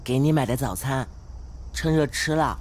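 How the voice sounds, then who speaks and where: A man's voice: medium pitch, moderate pace, close to an everyday voice. Man playing a mild, earnest student. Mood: mild, caring. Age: young adult. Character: mild, earnest, bookish young male student. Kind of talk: radio drama acting.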